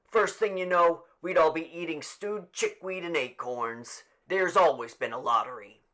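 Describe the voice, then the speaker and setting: A man's voice: fairly high pitch, measured pace, sharp tone, voice pushed up. A man voicing a cranky, petulant old man full of scorn in an audiobook. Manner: petulant, stout, snorting scorn. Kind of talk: audiobook character voice.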